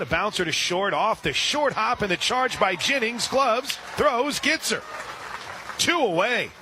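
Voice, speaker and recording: A man calling a softball game live on radio, steady between pitches and reading listener shout-outs. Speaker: radio play-by-play announcer. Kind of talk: live radio sports commentary.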